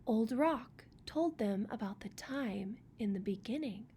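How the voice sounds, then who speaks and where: A woman's voice: on the high side, animated, a little slow, dark tone, slight background noise. A woman reading a children's picture book aloud as storyteller, expressively, with chatty casual asides.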